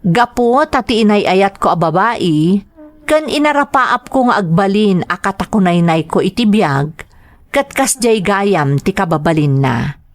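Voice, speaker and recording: A woman's voice: mid-range voice, expressive, measured pace, no room sound. A woman narrating a scripted first-person drama story in an earnest, reflective manner.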